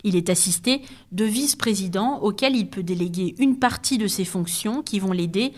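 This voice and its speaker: A woman's voice: mid-range voice, moderate pace. A woman giving a structured educational podcast explanation in a didactic, methodical manner, signposting each point.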